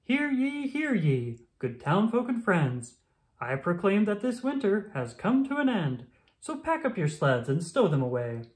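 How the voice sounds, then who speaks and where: An eager young groundhog forecaster from a picture book, acted out by a reader. He is earnest and declamatory, with his voice pitched up high. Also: wildly swooping pitch, measured pace. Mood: proud, proclaiming.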